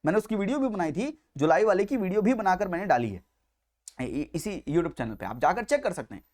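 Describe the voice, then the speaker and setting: An Indian man delivers an exam-coaching lecture. His intonation swings up and down in a very animated way. He stresses and repeats key points, moving between Hindi and Indian-accented English. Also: high-pitched, a little fast.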